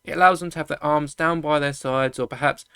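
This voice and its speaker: A man's voice: on the high side, fairly even intonation, fairly quick, vocal fry, fairly bright tone, clean tone, dry recording, clean studio sound. A man hosting a hobby showcase video, chatting to viewers in rambling explanations. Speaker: YouTube host of a toy-building channel. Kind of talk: enthusiast video presentation, product showcase.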